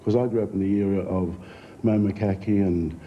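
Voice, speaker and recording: A middle-aged Australian man talking reflectively in an interview, in a measured, halting way. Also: low-pitched, steady tone. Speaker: theatre founder, former teacher. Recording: muffled, faintly noisy recording.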